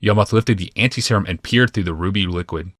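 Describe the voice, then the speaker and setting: Low, forceful male audiobook narrator. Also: fast, no echo, clean studio sound. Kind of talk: scripted dramatic narration of fantasy fiction.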